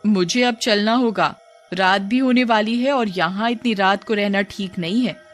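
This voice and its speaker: A woman's voice: mid-range voice, unhurried, faint music behind, lightly acted. A woman playing a cautious, secretive next-door neighbour in a cartoon story.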